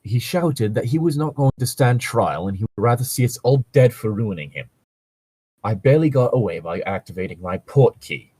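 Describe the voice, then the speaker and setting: A man's voice: mid-range voice, animated, moderate pace, mellow, husky, no room sound, rough recording. A man reading aloud as a bitter, grieving young wizard who survived a war, in a fan fiction story.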